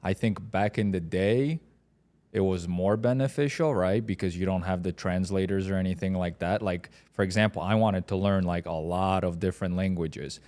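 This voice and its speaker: A man's voice: sits low, fairly even intonation, moderate pace, a little mellow. A man from Poland, Polish his first language, co-hosting a podcast in hesitant casual chat, full of "like" and "right". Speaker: podcast co-host.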